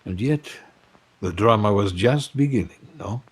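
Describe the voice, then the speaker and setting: Man acting deep, husky, pompous lovesick film tycoon. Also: lively intonation, unhurried, full character voice. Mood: wounded rage. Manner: florid, melodramatic, pompous. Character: lovesick, vengeful, pompous wealthy French film producer. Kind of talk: dramatic first-person storytelling in audio fiction.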